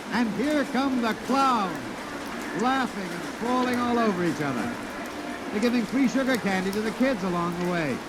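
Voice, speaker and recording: An actor playing a fast-talking male radio announcer covering a parade in a 1950s radio drama. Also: high-pitched. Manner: fast showman patter, hushed and grave when solemn. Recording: old radio recording under loud rain noise.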